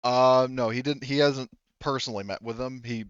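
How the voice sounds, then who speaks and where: A man running a tabletop roleplaying game as game master, explaining casually and haltingly, often repeating and rephrasing himself. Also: medium pitch, steady tone, smooth, no echo, lo-fi sound.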